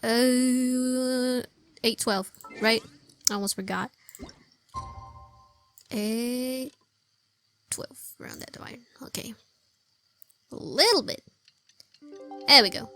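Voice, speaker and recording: A woman's voice: fairly high pitch, slow, long pauses, a bit bright, dry recording. A woman giving casual gameplay commentary, muttering to herself and repeating phrases as she plays. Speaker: gaming streamer. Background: game music.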